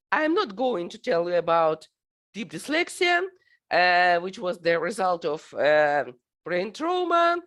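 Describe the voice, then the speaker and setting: A middle-aged woman from Ukraine with a Ukrainian accent, a native Russian speaker, giving an academic presentation in a halting way, full of fillers. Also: a little high.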